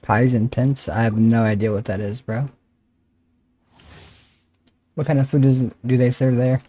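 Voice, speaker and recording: A man's voice: mid-range voice, steady tone, a little slow, dark tone. A man livestreaming a game, chatting casually with viewers in a chummy, slangy way. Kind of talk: casual game stream chat.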